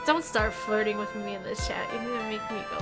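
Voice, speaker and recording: Chatty young woman streamer, music loud. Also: mid-range voice, level tone, a little fast. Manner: chatty, joking, self-deprecating. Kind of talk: casual gaming stream chat. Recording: game music playing loudly under voice.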